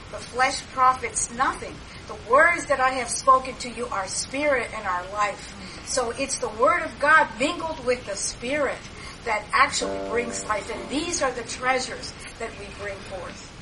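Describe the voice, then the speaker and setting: A woman's voice: on the high side, unhurried. An older woman sharing at a church meeting, rambling with many fillers and restarts. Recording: distant mic, background noise.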